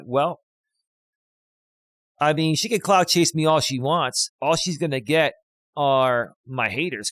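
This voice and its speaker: A man of fifty, a life coach, ranting loudly and emphatically on a live stream while reading out chat, close on a studio dynamic mic. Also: medium pitch, dry recording. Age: 50s.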